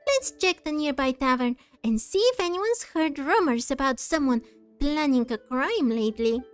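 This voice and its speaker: A woman voicing a small, excitable, pushy fairy companion from a video game, with an eager manner. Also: sits high, moderate pace, soft background music. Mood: alarmed, urgent.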